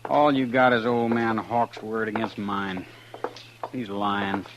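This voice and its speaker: A bitter, defiant cowboy outlaw with a lowish American voice. He speaks in curt, clipped lines in 1950s radio western style. The vintage recording is clearly noisy. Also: flat delivery, slow. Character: bitter, defiant young outlaw held prisoner.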